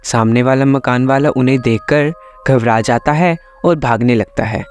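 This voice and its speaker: A man's voice: mid-range voice, normal speed, darkish tone. A man reading scripted story narration as the storyteller. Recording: music behind the voice. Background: background music.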